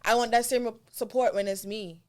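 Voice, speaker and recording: A Caribbean-accented woman with a voice a touch higher than average. She chats informally as a podcast co-host, blunt and sassy, leaning hard on her points with plenty of slang. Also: fairly even intonation, normal speed. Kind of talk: casual chat.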